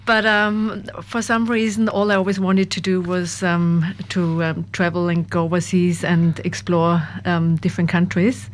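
A young woman from Austria with an Austrian accent, speaking softly and hesitantly as an interview guest. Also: lowish voice, little pitch movement, unhurried, few pauses, slight background noise. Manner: soft-spoken, laughs often, hesitant with many ums. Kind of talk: interview conversation.

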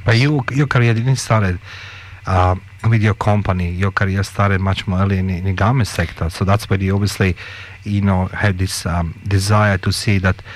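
A man's voice: deep voice, fairly even intonation, normal speed, slight background noise. Eager rambling male radio host. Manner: eager, rambling, frequent restarts and laughs. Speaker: radio talk show host. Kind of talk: enthusiastic radio interviewing. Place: radio studio.

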